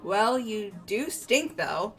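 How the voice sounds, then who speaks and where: A woman's voice: medium pitch, animated, slow, faint music behind. A young woman in a group game playthrough, voicing dialogue and joking casually.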